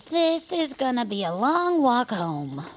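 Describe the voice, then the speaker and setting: High-voiced, animated amateur stage performer. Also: female voice, takes it slowly, full character voice. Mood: weary. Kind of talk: audio fiction comedy acting.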